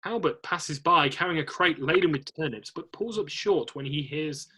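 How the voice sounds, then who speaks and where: A man's voice: a little high, normal speed, bright, forceful tone, a little hiss or hum. A man narrating stage directions in a scripted screenplay reading.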